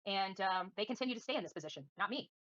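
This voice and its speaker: A woman's voice: mid-range voice, lively intonation, racing, fairly bright tone, dry recording, lo-fi sound. A woman livestreaming commentary and answering viewers in a combative, defiant, scornful manner. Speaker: livestream host.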